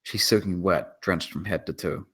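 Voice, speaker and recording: A man's voice: low voice, normal speed, sharp tone, breathy edge. A man reading out stage directions as scripted screenplay narration at a table read.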